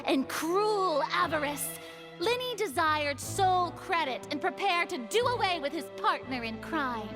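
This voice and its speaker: A pompous, grandstanding young lady from a video game. Her very high voice lingers slowly and dramatically over phrases, savoring every word above prominent background music. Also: lively intonation. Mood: smug, theatrical. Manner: pompous, dramatic, drawn-out delivery. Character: haughty, theatrical young woman grandstanding as a showy accuser.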